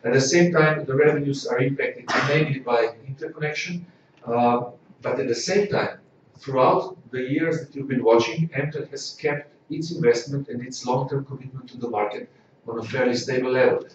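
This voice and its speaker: A man from Slovenia giving a corporate results presentation, measured and full of filler "uh"s. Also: mid-range voice, fairly even intonation. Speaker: company executive presenting results. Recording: echoey hall, distant dull mic, faint noise.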